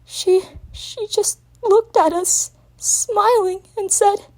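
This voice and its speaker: A woman's voice: very high-pitched, takes it slowly, voice pushed up. A woman voicing a distraught young male dragon in a dramatic story reading, crying and stammering as the voice cracks. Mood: sobbing, panicked. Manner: whimpering, sobbing, stammering through cracking voice. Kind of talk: dramatic reading of fan fiction.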